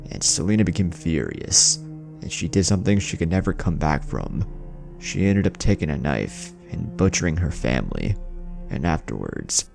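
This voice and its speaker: A man narrating a first-person horror story as a haunted, lovestruck teenage boy, brooding and halting with dramatic pauses. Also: deep voice, creaky, a little mellow. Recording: music behind the voice.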